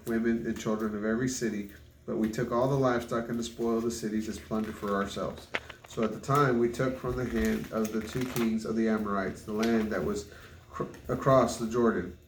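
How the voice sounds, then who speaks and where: A man reads scripture aloud, stumbling and correcting himself on unfamiliar names. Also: medium pitch. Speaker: Bible reader. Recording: echoey room, distant mic, faint noise.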